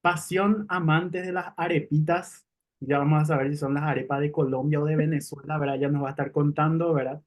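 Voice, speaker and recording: A man's voice: fairly high pitch, steady tone, brisk. A man introducing the guests on a casual talk show in a friendly way, often ending sentences with a questioning tag.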